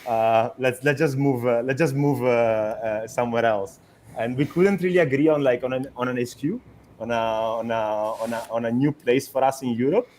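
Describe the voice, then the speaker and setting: Italian man, halting startup founder. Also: medium pitch, a little mellow, slight background noise. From Italy. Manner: hesitant, many restarts and fillers. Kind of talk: conversational interview.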